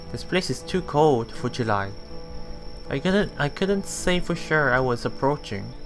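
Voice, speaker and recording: A man reading a visual novel aloud as a let's play host, stumbling and rereading lines as he goes. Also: medium pitch. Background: faint music.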